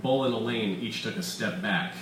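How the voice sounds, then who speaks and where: A man's voice: fairly low pitch, normal speed. A man narrating his own comic science-fiction short story at a live reading event in a bar. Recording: roomy echo, distant mic, faint room noise.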